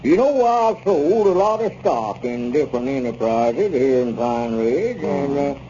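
An actor playing a puffed-up, wheedling small-town swindler squire with a rural Southern American accent, giving a folksy sales pitch in a 1930s radio comedy. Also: male voice, animated, measured pace, dark tone, lightly acted. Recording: muffled 1930s radio recording, faint noise.

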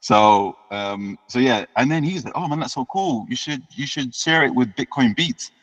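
A man, telling his story as an interview guest in a relaxed way and often repeating words as he restarts. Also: medium pitch, moderate pace. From United Kingdom. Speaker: guest musician. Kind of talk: casual interview chat. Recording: dull lo-fi sound with long reverb.

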